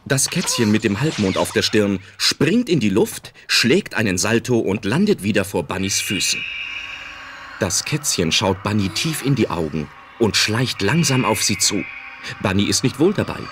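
A man narrating a children's audio drama as an expressive storyteller. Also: fairly low pitch, moderate pace, background noise. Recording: music behind the narration.

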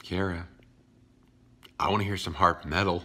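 A man's voice: low-pitched, fairly even intonation, normal speed, over loud music. A man in his thirties chatting casually to a phone camera in his living room, joking as he goes. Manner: chatty, jokey asides. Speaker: channel host. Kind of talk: casual vlog chat. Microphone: phone camera at arm's length.

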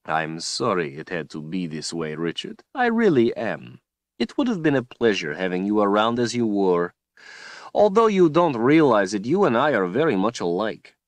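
A man's voice: fairly low pitch, huge pitch swings, moderate pace, no echo. A man voicing a cruel male tyrant who speaks in a silky, taunting, menacing way. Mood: mocking.

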